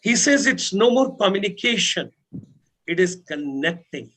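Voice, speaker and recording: Male trainer on a webinar panel, a touch high-pitched, repeats phrases for emphasis. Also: sing-song, measured pace, fairly bright tone, rough recording. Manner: spells out names letter by letter, repeats phrases for effect. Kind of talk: motivational panel talk.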